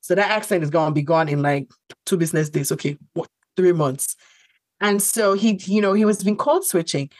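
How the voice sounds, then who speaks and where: A woman's voice: lowish voice, moderate pace, no echo. A woman, a podcast co-host whose first language is not English, chatting casually and thoughtfully with many fillers and restarts. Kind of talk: casual chat.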